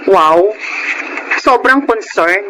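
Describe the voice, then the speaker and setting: An actress playing a jealous, haughty young girlfriend in a Tagalog radio drama, with a sharp, sarcastic manner. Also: mid-range voice, expressive, very slow, background noise.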